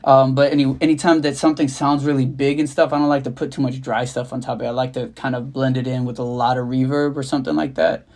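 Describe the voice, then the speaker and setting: A man's voice: medium pitch, little pitch movement, quick pace, hardly any pauses, a little mellow. Laid-back young man chatting loosely. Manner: loose, rambling, swears casually, laughs at himself. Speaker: rapper and beatmaker, livestream host. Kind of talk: casual livestream chat. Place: home studio.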